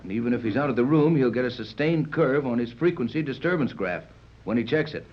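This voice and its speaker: An actor playing a commanding, level-headed yacht captain who gives clipped orders in a 1930s radio serial. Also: male voice, medium pitch, expressive, brisk, natural voice. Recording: dull, muffled 1930s radio recording.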